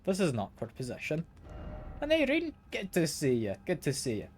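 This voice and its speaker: A man's voice: a little high, huge pitch swings, a little fast, darkish tone, a little hiss or hum. A man streaming a video game, slightly ill and congested, chatting casually and often repeating words as he plays. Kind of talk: casual gameplay commentary. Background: game music.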